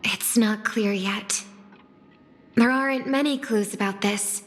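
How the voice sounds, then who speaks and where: A woman voicing a composed, kind young singer in a video game, speaking in a measured, formal and earnest way. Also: mid-range voice, close to an everyday voice. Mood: grave, reflective. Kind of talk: video game character dialogue. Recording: long reverb, music behind.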